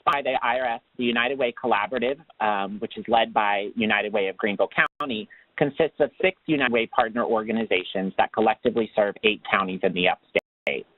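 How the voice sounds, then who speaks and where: A man giving a semi-scripted informational webinar presentation, phrasing things formally and pausing as he goes. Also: fairly low pitch, no room sound. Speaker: nonprofit program manager as webinar speaker. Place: remote webinar call. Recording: dull lo-fi call audio, very dry.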